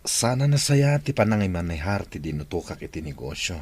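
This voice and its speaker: A man playing a gentle widowed father consoling his child in an Ilocano drama, with faint noise behind him. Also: sits low, sing-song, brisk, slightly rough, exaggerated voice. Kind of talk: Ilocano radio drama acting.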